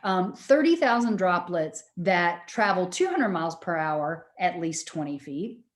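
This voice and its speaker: A middle-aged woman, lecturing students in a loud, projecting voice and often checking that they follow. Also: on the low side, lively intonation, a little slow, echoey room. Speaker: university lecturer.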